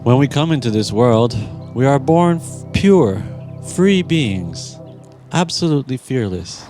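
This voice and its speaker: A man narrating a guided meditation in a gentle, soothing, deliberate manner with long pauses. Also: medium pitch, lively intonation. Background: music.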